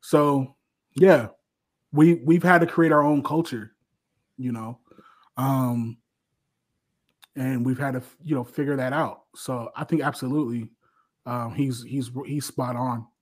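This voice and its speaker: A man's voice: medium pitch, fairly even intonation, a little slow, long pauses. An American man talking casually as a guest, repeating phrases as he thinks aloud. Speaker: guest on a livestream. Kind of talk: casual, conversational reflection.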